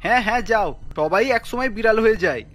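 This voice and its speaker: A man's voice: high-pitched, expressive, fairly quick, fairly bright tone, soft background music. A man voicing a worried young husband in a cartoon, earnest and pleading as he explains himself. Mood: teasing. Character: worried, placating young husband, anxious around his wife. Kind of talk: cartoon story dialogue.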